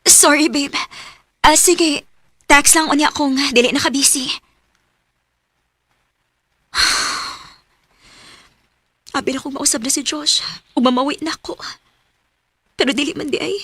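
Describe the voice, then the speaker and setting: Young Filipina, Cebuano accent, hurt girlfriend in radio drama; high, emotional, plaintive. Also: steady tone, takes it slowly, pauses often, fairly bright tone, dry recording, clean studio sound, exaggerated voice. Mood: dejected. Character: lovesick, hurt young woman clinging to a neglectful boyfriend.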